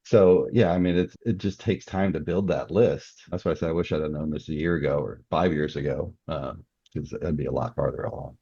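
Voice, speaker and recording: Very deep, husky man, muffled audio. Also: brisk, warm tone, dry recording. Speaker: guest author. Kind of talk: relaxed interview conversation about writing.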